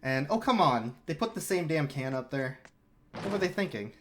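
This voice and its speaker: A man's voice: fairly high pitch, big pitch swings, normal speed, fairly bright tone, slight background noise. A man giving casual, rambling, self-mocking commentary while he plays through a speedrun live.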